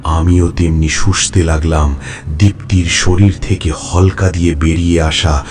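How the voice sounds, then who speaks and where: An actor playing a bloodthirsty, coldly gloating vampire in a horror audio story, speaking with slow, savouring menace. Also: male voice, low voice, steady tone, darkish tone, slight background noise. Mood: savage relish. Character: bloodthirsty male vampire, coldly savouring his human prey. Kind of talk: dramatic first-person horror audio story narration.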